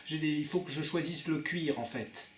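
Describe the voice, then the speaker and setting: A man with a slightly high voice plays a naive caller on a prank call. He asks hesitantly and repeats himself. The sound is echoey, with a clear crowd murmur behind him. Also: normal speed. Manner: hesitant, repetitive, naive questioning. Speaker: prank caller posing as a car buyer. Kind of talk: phone prank conversation.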